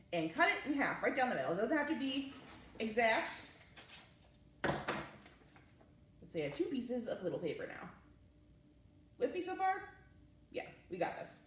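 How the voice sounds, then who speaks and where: A woman hosting a children's story time and craft session, speaking casually and encouragingly as she talks young children through each step. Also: mid-range voice. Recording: roomy, distant mic with faint noise.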